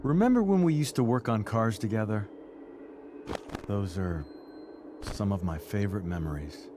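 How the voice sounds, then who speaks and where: An actor playing a gentle, teasing middle-aged father in a naturalistic video game drama, with a playful, reassuring manner. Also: fairly low pitch, animated, normal speed, background noise, natural voice. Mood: teasing, fond.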